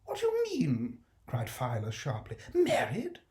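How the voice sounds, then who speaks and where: A man playing a fussy, indignant economist who scolds in sharp high outbursts. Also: wildly swooping pitch, a little slow.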